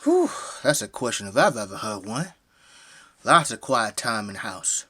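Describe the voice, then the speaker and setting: A man's voice: lowish voice, sing-song, normal speed, fairly bright tone, slightly rough, full character voice. A man acting middle-aged Southern US family man, folksy, effusive, hospitable, very swooping intonation, audio drama. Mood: storytelling, easygoing. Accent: American South.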